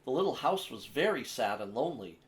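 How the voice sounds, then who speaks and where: A man narrating a children's picture book as a storyteller, with an expressive, measured delivery. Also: medium pitch, a little hiss or hum. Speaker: storyteller, host of a story time series.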